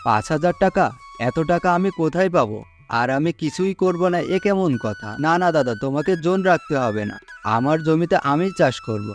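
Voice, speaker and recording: A man's voice: a little high, lively intonation, a little fast, natural voice. A man playing a poor, trusting farmer in a cartoon folk tale, speaking earnestly and deferentially. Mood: doubtful, protesting. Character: poor, gullible, humble male farmer, trusting and hesitant. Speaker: cartoon voice actor. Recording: music under the voice.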